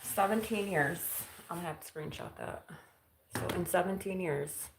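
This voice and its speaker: A woman's voice: lowish voice, normal speed, vocal fry, echoey room, noisy background. A woman in her forties chatting casually on a live stream at home, teasing herself and laughing often. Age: 40s. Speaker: vlogger. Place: home kitchen.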